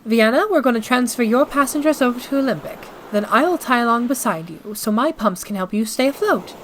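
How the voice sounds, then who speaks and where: A woman voicing a calm, sensible female ocean liner who talks things through. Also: fairly high pitch, a little fast, a little hiss or hum, natural voice. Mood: reassuring.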